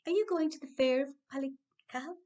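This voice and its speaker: A woman's voice: high-pitched, fairly quick, a little mellow, pitched above the performer's own voice, natural voice. A woman voicing a tiny, crafty male leprechaun shoemaker in a chirpy storybook manner. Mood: polite, chirpy. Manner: chirpy, squealing when riled.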